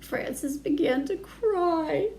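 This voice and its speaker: A woman's voice: extremely high pitch, expressive, very slow, mellow, slight background noise. A woman reading a picture book aloud to young children, with simple phrasing and asides to her listeners. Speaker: children's storytime reader.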